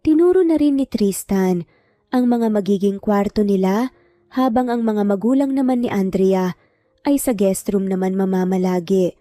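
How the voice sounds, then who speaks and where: A woman's voice: mid-range voice, moderate pace, dark tone, no echo. A woman reading a scripted romance story as its narrator. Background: background music.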